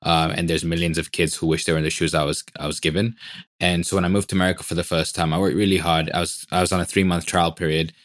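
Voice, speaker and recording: Fast-talking deep-voiced man, founder. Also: flat delivery, dry recording, clean studio sound. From Tanzania. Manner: fast, filler-heavy, enthusiastic. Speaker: startup founder. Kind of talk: podcast interview.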